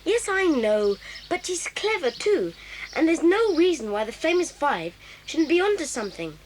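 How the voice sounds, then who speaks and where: An actress playing an earnest, indignant young schoolboy in a children's audio drama. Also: female voice, high-pitched, sing-song, moderate pace, a little hiss or hum. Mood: earnest, worried. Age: child figure. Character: sceptical, earnest schoolboy, needled by his sister.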